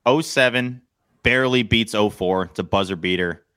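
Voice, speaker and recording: A man's voice: lowish voice, moderate pace, bright, forceful tone, clear, resonant tone, no echo. An American man in his thirties talking casually and with opinions, like a sports podcast co-host. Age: 30s.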